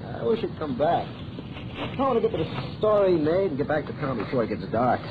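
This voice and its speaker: Lively, jittery American newsreel cameraman. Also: a little high, moderate pace. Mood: griping. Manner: hesitant, slangy, animated. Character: nervous, wisecracking city newsreel cameraman. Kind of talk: 1930s-40s radio acting. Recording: muffled, noisy old radio recording.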